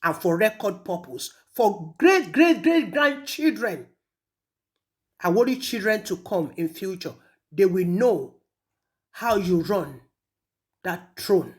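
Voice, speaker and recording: A woman with a Nigerian accent delivering a stern, deliberate admonishing message, repeating phrases for rhetorical weight. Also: mid-range voice, expressive. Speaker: speaker addressing a traditional ruler in a recorded message. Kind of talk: admonishing recorded audio message.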